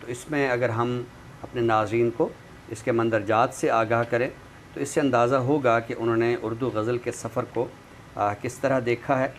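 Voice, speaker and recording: A man speaking in a measured, formal, literary way as a commentator discussing books. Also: medium pitch, fairly even intonation. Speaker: literary commentator and guest expert. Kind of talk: literary talk and book review with some poetry recital. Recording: clearly noisy background.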